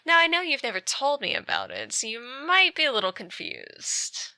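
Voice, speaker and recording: A woman playing an obsessive yandere ex-girlfriend in an ASMR roleplay, speaking with menacing sweetness and slipping into breathy whispers. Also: high voice, wildly swooping pitch, moderate pace, bright, forceful tone, no room sound, heavily acted. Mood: taunting, obsessive. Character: obsessive yandere ex-girlfriend stalking her former lover. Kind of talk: ASMR roleplay, scripted monologue.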